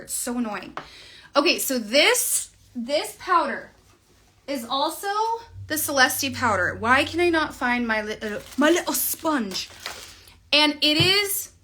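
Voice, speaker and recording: Bright, slightly high-voiced woman in her thirties, chatty live makeup host on a phone camera. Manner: chatty, bubbly, talks while applying makeup. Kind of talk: casual livestream makeup tutorial. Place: small indoor room at home. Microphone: phone camera at arm's length.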